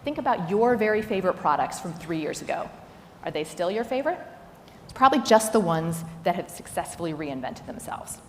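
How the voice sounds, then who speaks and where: A woman executive giving a prepared business keynote, speaking in an instructive, emphatic way with rhetorical questions. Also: lowish voice. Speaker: chief executive giving a conference talk. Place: large indoor talk venue. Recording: echoey hall, noticeable background noise.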